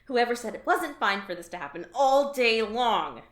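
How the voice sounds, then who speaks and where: A woman's voice: on the high side, lively intonation, normal speed, reverberant, natural voice. A woman playing a frazzled alien office worker, exasperated and sarcastic. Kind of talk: audio drama.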